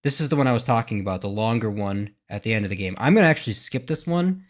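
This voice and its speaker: A man's voice: on the low side, expressive, fast. A man giving casual, speculative commentary as a gaming reaction host, often questioning his own thoughts. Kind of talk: casual commentary, thinking aloud.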